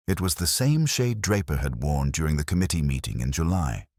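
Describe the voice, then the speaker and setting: A man reading a mystery story as a scripted narration, in a measured, literary storytelling manner. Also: rumbling low, big pitch swings, darkish tone, no echo, clean studio sound. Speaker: audiobook narrator.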